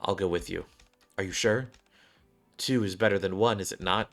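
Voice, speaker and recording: A man's voice: deep voice, moderate pace, fairly bright tone, soft background music. A man voicing a grim, weary woman soldier with a serious, formal manner in a sci-fi game. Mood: grave.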